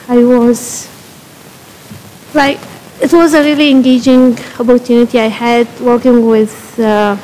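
A woman's voice: fairly high pitch, warm tone, slight background noise, poor audio. A woman from Egypt introducing herself at a community board meeting, speaking at a podium mic with many hesitations. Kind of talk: public meeting remarks. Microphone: podium microphone.

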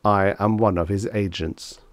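Male reader as polite agent of death, formal, earnest, measured, lowish pitch, dry sound, storybook. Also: fairly even intonation, no room sound, natural voice. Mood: solemn, composed. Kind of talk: dramatised story reading.

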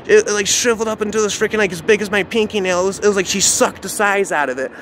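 High-pitched, agitated male storyteller, noisy outdoors. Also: quick pace, fairly bright tone. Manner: agitated, repetitive, profane, slangy. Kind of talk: improvised first-person dark fictional storytelling. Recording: noisy outdoor recording with traffic ambience.

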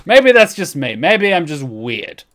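An animated young male streamer with a somewhat high voice that swings widely in pitch. He reacts casually with sarcasm, exaggeration and plenty of swearing. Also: a little slow. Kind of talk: casual, profane reaction commentary.

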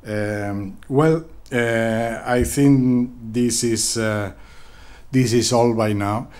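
A man chairing an academic workshop in a large lecture hall, talking haltingly with many fillers and repeated words into a handheld microphone. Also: medium pitch, darkish tone, clean tone. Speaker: workshop organizer chairing the session.

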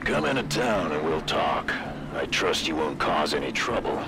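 Low-voiced, proud colony governor AI. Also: male voice, big pitch swings, moderate pace, gravelly, heavy background noise, exaggerated voice. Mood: gruff, wary. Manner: folksy, authoritative. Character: gruff, proud failing AI governor of frontier colony.

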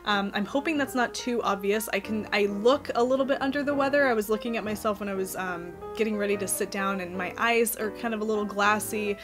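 A woman's voice: mid-range voice, brisk, few pauses, music in the background. A woman in her thirties hosting a knitting podcast, chatting casually to the camera at home, a little nasal from a cold, with lots of ums and light laughs. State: has a cold, slightly nasal. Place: cozy home office with string lights.

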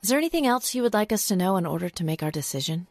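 A woman's voice: medium pitch, expressive, racing, no room sound, soft background music. A woman voicing a tense, pointed female job interviewer in a story. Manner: clipped, pointed.

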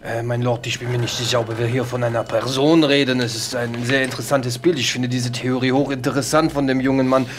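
Horror radio play: male actor as pompous French doctor, mid-pitched, hesitant, formal and long-winded. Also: flat delivery, fairly quick, hardly any pauses, noisy background, close to an everyday voice. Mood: musing, hesitant. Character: pompous French doctor, eccentric man of science. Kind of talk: improvised horror tabletop audio drama.

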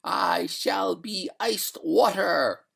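A narrator voicing a genteel, haughty female water ghost in a storybook, pleading in drawn-out, breathy, melodramatic wails. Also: mid-range voice, sing-song, fairly bright tone, pitched above the performer's own voice, exaggerated voice. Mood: threatening.

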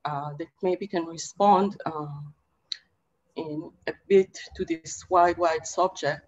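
A middle-aged woman from Israel talks slowly and reflectively, pausing often and searching for her words. Her voice sits a little low. The sound is dull and lo-fi, like a webcam on a video call. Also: steady tone. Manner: measured, thoughtful, many pauses and fillers. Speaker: panelist poet. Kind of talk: reflective panel talk. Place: home study with bookshelves.

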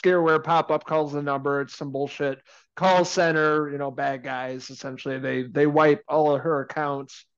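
A man in his thirties co-hosting a casual movie review over a video call from home, relaxed and chatty. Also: fairly high pitch, moderate pace, clean tone, muffled recording. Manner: relaxed, chatty, laughs easily. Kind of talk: casual film review chat. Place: home room indoors. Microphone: video call webcam.

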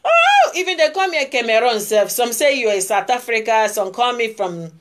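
Brief: Igbo-accented Nigerian woman, 40s, phone vlog, insistent repetition, slightly high voice. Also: very animated, moderate pace, a bit bright. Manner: emphatic, repeats phrases, gestures while talking. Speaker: vlogger. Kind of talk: casual political vlog monologue. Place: indoor room before hung flags. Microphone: phone camera close up.

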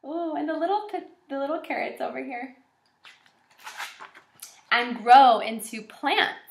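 A woman in her twenties reading aloud and teaching young children as a kindergarten teacher, in an encouraging, animated way. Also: sits high, measured pace, gravelly, slight background noise. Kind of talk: children's read-aloud lesson. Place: small indoor room with shelves and whiteboard. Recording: echoey room.

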